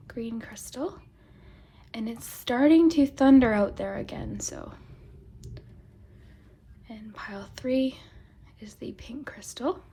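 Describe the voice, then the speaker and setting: A young woman with a slightly hoarse, sore throat giving a chatty tarot reading to her viewers, friendly and soft-spoken, sometimes dropping to a whisper. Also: a little high. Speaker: tarot reader and channel host. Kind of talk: chatty pick-a-card tarot reading to camera.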